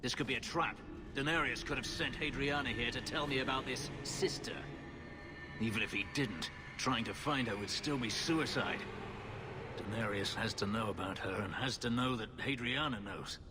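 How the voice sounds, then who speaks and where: An actor playing a brooding, bitter elf warrior and escaped slave in a video game, curt and intense. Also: male voice, medium pitch, normal speed, over loud music, lightly acted. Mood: bitter anger. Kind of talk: dramatic video game acting.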